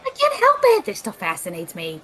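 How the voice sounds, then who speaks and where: A woman's voice: medium pitch, wildly swooping pitch, brisk, pushed and bright, a little hiss or hum. A woman playing a tech-minded, sarcastic female sidekick who teases dryly, in an audio drama. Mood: wry. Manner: dry, sarcastic, teasing.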